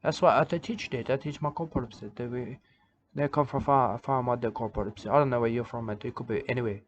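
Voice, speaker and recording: A man's voice: mid-range voice, slight background noise, close to an everyday voice. A man playing a rambling Aussie nudist preacher. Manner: halting broken English, repeats a catchphrase. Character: odd rambling male preacher of a nudist church. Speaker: self-styled church leader. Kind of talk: rambling comic monologue. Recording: dull lo-fi recording.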